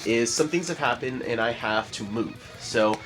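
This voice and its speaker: A man's voice: normal speed, over music. A young man vlogging from his bedroom at home, sick and worn out with a sore throat, talking in a weary, rambling way. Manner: low-key, weary, rambling. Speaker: artist vlogger. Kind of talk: casual vlog talk to camera.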